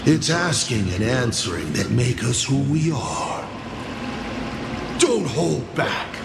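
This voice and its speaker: Theatrical, slow-talking villain lord, wildly swooping. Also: male voice, medium pitch, very animated, vocal fry, reverberant, background noise, exaggerated voice. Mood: amused, musing. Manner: teasing, grandiose, drawn-out phrasing. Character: theatrical, playful male villain lord toying with questioners. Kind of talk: video game character acting.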